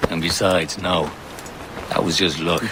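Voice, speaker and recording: Deep-voiced, teasing Irishman. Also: steady tone, a little slow, noisy background. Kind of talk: cinematic video game acting.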